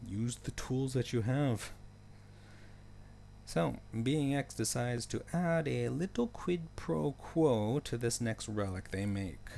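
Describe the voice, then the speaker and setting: A man's voice: mid-range voice, animated, slow, creaky, dark tone, slight background noise. A man narrating a story recap in a casual, loosely scripted way, with wry joking asides.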